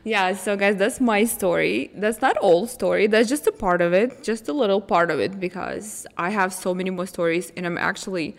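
A woman's voice: medium pitch, moderate pace, few pauses, big-room sound. A young woman, co-hosting a podcast in casual chat, chatty and giggly with lots of "like". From Russia. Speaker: podcast co-host.

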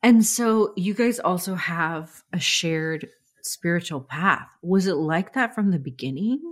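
A woman's voice: on the low side, expressive, measured pace. A woman hosting a podcast, affirming her guest and sharing her own experience in an easy, open way. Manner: affirming, breathy exclamations, laughs easily. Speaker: podcast host. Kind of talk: warm conversational interview.

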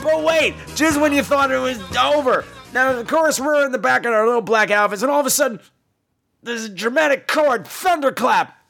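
A man's voice: very high voice, a little fast, vocal fry, fairly bright tone, faint music behind. A man hosting a podcast, telling comic personal stories in a campy, exaggerated theatrical style with salty, sassy asides. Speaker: podcast host.

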